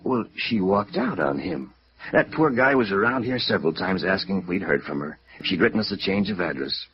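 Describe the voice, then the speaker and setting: Deep-voiced, slangy American building manager. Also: male voice, animated, fairly quick. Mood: gossipy. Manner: colloquial, wisecracking. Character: gossipy, slangy, guarded male apartment house manager. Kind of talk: 1950s radio drama acting. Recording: muffled vintage radio recording.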